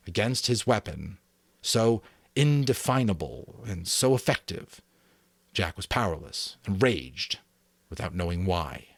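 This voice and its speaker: A man narrating a novel as a dramatic audiobook reading, expressive and theatrical. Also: low voice, no room sound.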